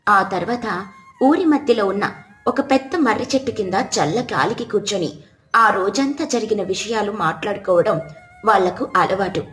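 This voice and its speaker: Lady telling a kids story, higher voice, bit echoey, music underneath. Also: lively intonation, moderate pace, a bit bright. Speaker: narrator. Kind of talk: scripted children's story narration.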